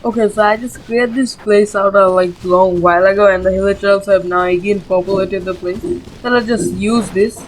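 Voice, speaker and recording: A woman's voice: mid-range voice, fairly even intonation, moderate pace, a little hiss or hum, poor audio. A woman hosting a gaming video with casual, informal, rambling gameplay commentary, often saying "like". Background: game music.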